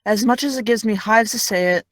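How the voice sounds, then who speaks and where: A young actress playing a blunt, sarcastic high school girl with no filter. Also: a little fast, no echo, natural voice. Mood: sardonic. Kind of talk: audio drama.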